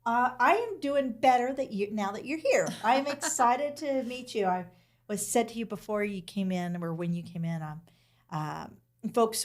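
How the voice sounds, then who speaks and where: A woman hosting a podcast interview, chatting in an effusive, enthusiastic way. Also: mid-range voice. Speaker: podcast host. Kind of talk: casual interview chat.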